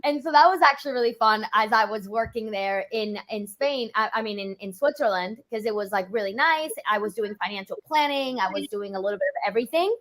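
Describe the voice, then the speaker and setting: A young woman from Europe, a financial planner, chatting quickly and casually with lots of "like" fillers. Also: medium pitch, a bit bright. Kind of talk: casual interview chat.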